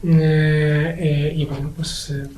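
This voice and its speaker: Soft-spoken man in an echoey room. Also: fairly high pitch, level tone, slight background noise. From Spain. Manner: measured, hesitant with many fillers. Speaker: interview guest. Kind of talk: thoughtful conversational interview.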